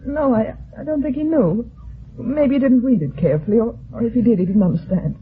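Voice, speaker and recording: A woman playing an agitated grieving widow, halting and pleading, in an old-time radio detective drama. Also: fairly high pitch, husky. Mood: defensive, indignant. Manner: halting, breathless protests and repeated denials. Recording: tinny band-limited vintage radio broadcast.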